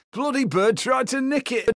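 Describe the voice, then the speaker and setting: A man's voice: very high voice, a little slow, fairly bright tone, no echo, clean studio sound, full character voice. A man with a British accent voicing a hapless, cheeky video game crook who bluffs sheepishly as a guard. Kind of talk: game voice lines over radio.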